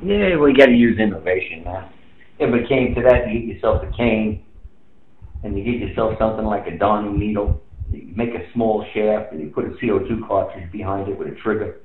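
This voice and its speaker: A man's voice: on the low side, fairly quick, a little hiss or hum. An American man chatting casually over amateur radio in an emphatic, streetwise way, repeating phrases to drive them home. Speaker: amateur radio operator in a round-table chat. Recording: tinny, echoey radio transmission.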